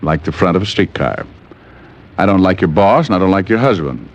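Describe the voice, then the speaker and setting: Cocky radio private eye, low voice, swings around a lot, teasing, muffled old recording. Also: male voice, expressive, a little fast. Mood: cocky, dry. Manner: flippant, teasing banter. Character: wisecracking, cocky male private detective. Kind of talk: 1940s-50s radio detective acting. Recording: dull old radio transcription.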